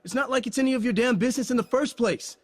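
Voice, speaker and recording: An actor playing a defensive young football player, sarcastic and bristling in an audio drama. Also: male voice, very high voice, fast, slight background noise. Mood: angry.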